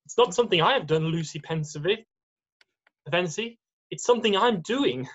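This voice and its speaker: Someone doing a gentle nervy faun guy, wistful n apologetic, bit dull lo-fi sound. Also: medium pitch, expressive, normal speed. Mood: ashamed, anguished. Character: nervous, guilt-ridden, gentle male faun, kindly host. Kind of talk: amateur screenplay readthrough.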